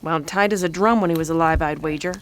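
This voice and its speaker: A woman's voice: sits low, fast talker, slight background noise, close to an everyday voice. A woman playing a folksy, matter-of-fact killer who mentors a rookie in an audio drama. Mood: calm, folksy.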